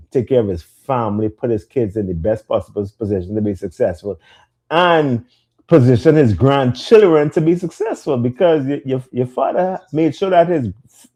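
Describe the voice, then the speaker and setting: A man's voice: mid-range voice, sing-song, normal speed, mellow, breathy edge. A man co-hosting a casual podcast, talking emphatically with street slang and bursts of energy. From immigrant to the US. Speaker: podcast co-host.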